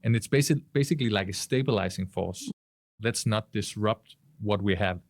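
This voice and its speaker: A man speaking conversationally on a podcast panel, with hesitant, deliberate phrasing. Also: fairly low pitch, no echo, studio quality.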